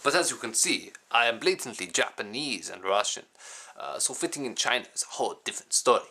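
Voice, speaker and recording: A young man playing a self-styled wandering samurai, rambling in a deadpan way with sudden crude outbursts, recorded outdoors on city streets. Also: medium pitch, fairly quick, vocal fry, dry recording, heavily acted. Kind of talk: improvised character comedy to camera. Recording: loud street and traffic noise.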